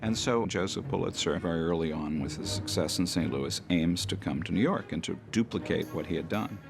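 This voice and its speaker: A man's voice: low voice, fairly quick, gravelly, music in the background. A man explaining history in a storytelling style as a documentary commentator.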